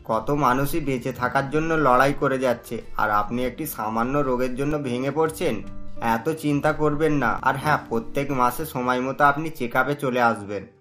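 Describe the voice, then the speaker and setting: Cartoon doctor, male, acted by a man: measured, reassuring, gently lecturing, medium pitch. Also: soft background music, close to an everyday voice. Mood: reassuring, firm. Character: calm reassuring male doctor advising a patient. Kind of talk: cartoon story dialogue.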